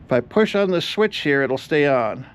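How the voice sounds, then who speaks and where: A man's voice: fairly high pitch, expressive, normal speed. A man giving a casual hands-on repair walkthrough, explaining each step aloud as he works. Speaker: host of an electronics repair channel.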